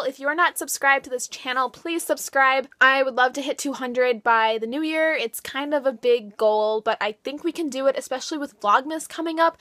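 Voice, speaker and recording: A woman's voice: sits high, a bit bright, no echo, studio quality. A twenty-year-old woman vlogger chatting casually to the camera in a rambling, self-deprecating way. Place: small bedroom in a basement. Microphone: camera at arm's length.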